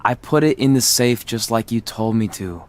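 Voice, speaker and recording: A man's voice: mid-range voice, animated, normal speed, close to an everyday voice. A man playing a goofy, easygoing young wrestler in a video game, with a joking, casual delivery. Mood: breezy, joking. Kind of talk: scripted video game cutscene acting.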